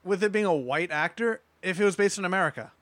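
A man's voice: high-pitched, quick pace, fairly bright tone, no echo. A man talking casually as a panelist in a podcast discussion.

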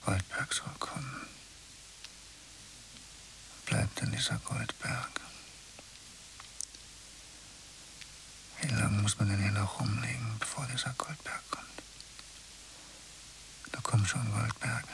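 An actor playing a sleepless, irritable, needy count in a radio play, muttering impatiently and pleading in a breathy, creaky half-whisper. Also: male voice, lowish voice, fairly even intonation, a little slow, pauses often, a bit bright, rough recording.

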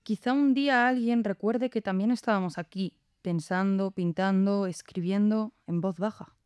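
An actress as a quietly resolute woman philosopher in an audio drama. Her voice is mellow and mid-pitched, measured and contemplative, captured very dry. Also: clear, resonant tone, no echo, close to an everyday voice. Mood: solemn, hopeful. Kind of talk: scripted audio drama dialogue.

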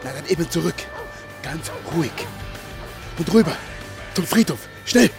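A man's voice: a little high, lively intonation, normal speed, vocal fry, music in the background. An actor playing a hunted, agitated young man in a radio drama, speaking in short clipped phrases. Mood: urgent. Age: young adult. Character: hunted young Ghanaian man living illegally, wary and agitated. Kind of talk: naturalistic radio drama acting.

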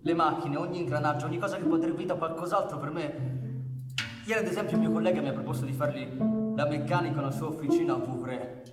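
A man's voice: a little high, fast, lightly acted. A man playing an awkward, polite, nervous character in a theatrical radio drama, flustered and apologetic. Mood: flustered, eager. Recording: distant echoey hall, music behind.

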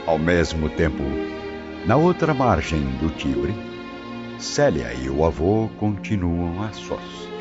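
A man with a deep voice narrates a radio drama. His scripted delivery is dramatic and richly varied in pitch, over music on a dull recording. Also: big pitch swings, takes it slowly. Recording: dull lo-fi audio, music behind.

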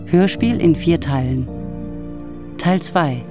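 A woman's voice: low voice, takes it slowly. A woman reading a scripted title announcement for a radio play. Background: music.

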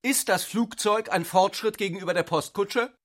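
Guy doing a scornful young student idealist, raised pitch, declaiming, radio drama, dry sound. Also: moderate pace, fairly bright tone, dry recording. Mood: heated, combative. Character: haughty, combative young male student idealist.

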